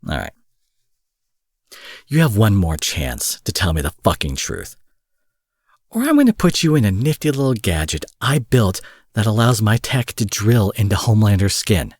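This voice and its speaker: A man acts out an angry supervillain captor in a roleplay audio drama, his voice lowish, husky and very close and dry. He is theatrical and profane, taunting with mock sweetness and sarcastic menace. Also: no echo, exaggerated voice. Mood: furious, threatening.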